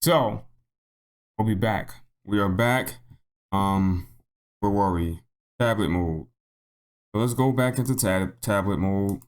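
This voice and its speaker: Male tutorial host, lowish and a little hoarse, informal walkthrough delivery that thinks out loud. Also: slow, pauses often. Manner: thinks aloud, repeats himself. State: slightly hoarse. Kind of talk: casual unscripted software tutorial narration.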